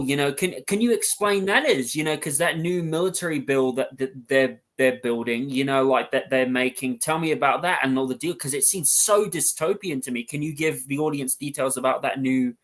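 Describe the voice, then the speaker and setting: A man's voice: medium pitch, animated, moderate pace, few pauses, fairly bright tone. Rambling man hosting an interview. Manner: rambling, leans heavily on "you know". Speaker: podcast host. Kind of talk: casual interview talk.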